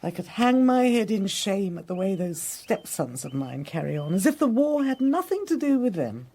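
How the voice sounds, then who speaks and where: A woman's voice: mid-range voice, sing-song, moderate pace, dark tone, slightly rough. An actress playing a genteel, imperious older lady of a country house, with an upper-class English accent, in a radio drama. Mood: indignant.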